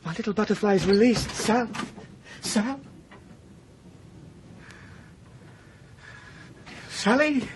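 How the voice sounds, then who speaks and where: A man's voice: high voice, lively intonation, unhurried, noisy background. A man playing an anxious, devoted young husband in a radio drama, impassioned and protective, moving between tenderness and alarm. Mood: relief turning anguish. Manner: impassioned, swinging from tender to frantic.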